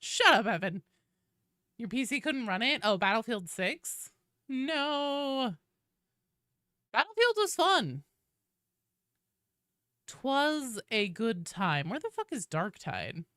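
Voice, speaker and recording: A woman live streaming games, chatting casually with her audience in an informal, animated, profanity-laced way. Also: mid-range voice, a little slow, no room sound, studio quality. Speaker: video game live streamer.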